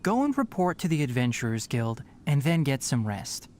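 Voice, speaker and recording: An actor playing a composed, brisk young male forest watcher in a video game, speaking in an articulate, matter-of-fact, instructive way. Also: mid-range voice, sing-song, a little mellow, slight background noise, close to an everyday voice. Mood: firm, advising. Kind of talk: scripted video game dialogue. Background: background game music.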